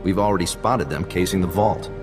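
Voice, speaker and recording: An actor playing a wry male intelligence agent in a video game, talking in a casual, unhurried way. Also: deep voice, big-room sound, over music, close to an everyday voice. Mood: wry, relaxed. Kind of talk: video game cutscene dialogue.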